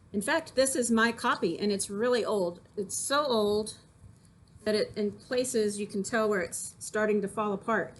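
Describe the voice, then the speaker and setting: A woman's voice: medium pitch, slight background noise. A woman teacher talking casually to children about poems, in a conversational way that puts questions to listeners. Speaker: teacher reading to pupils.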